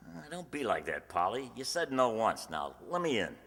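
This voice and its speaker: A man's voice: mid-range voice, sing-song, normal speed, a bit bright. A man acting a brash, pushy young suitor in a radio comedy play, slangy and wheedling. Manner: slangy, wheedling, stammers when thrown. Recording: echoey hall, faint noise.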